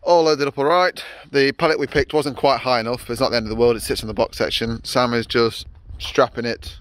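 A man's voice: medium pitch, steady tone, brisk, a bit bright. A young man vlogging casually from his workshop yard, rambling with many fillers and restarts. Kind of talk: casual vlog narration.

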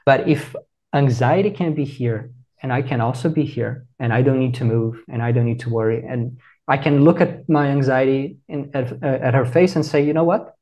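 A man, answering in a measured, reflective, teacherly way over a video call. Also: medium pitch, mellow, rough recording. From Brazil. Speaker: meditation teacher, guest. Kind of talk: calm explanatory interview answers.

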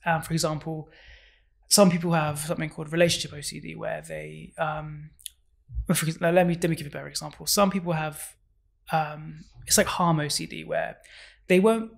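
A man's voice: fairly high pitch, level tone, normal speed, gravelly. A man, speaking openly as a podcast guest in a small studio, on a desk mic. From England. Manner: open, earnest, restarts and fillers. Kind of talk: casual interview. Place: small studio room, indoors. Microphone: desk microphone on stand.

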